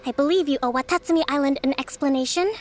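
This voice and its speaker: An actress playing a composed young island leader in a video game, speaking formally with measured, gentle firmness. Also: female voice, high-pitched, lightly acted. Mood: commanding, composed. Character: young female island leader, composed, polite, firm diplomat. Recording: soft music under the voice.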